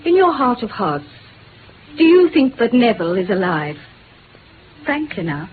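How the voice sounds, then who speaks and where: A woman's voice: on the high side, very animated, a little slow. A woman playing a composed, direct, genteel wife under strain in a 1950s radio drama, speaking formally. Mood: firm, pleading. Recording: tinny, faintly noisy old radio recording.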